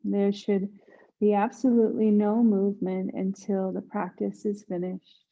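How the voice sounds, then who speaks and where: A woman guiding a yoga nidra meditation in a hushed, soothing manner, leaving long pauses between instructions. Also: medium pitch, little pitch movement, lo-fi sound. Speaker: meditation guide.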